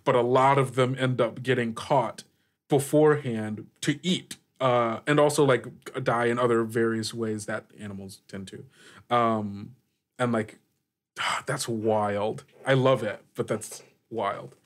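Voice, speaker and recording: A man's voice: mid-range voice, steady tone, measured pace. Man improvising as game master. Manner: conversational, thinking aloud. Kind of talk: narrating a tabletop game, improvised.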